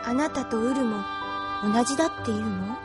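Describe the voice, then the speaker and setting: An actress playing a gentle, earnest, plucky young woman in an anime audio drama, with a sincere, caring, girlish manner. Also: on the high side, normal speed, loud music behind the voice, close to an everyday voice.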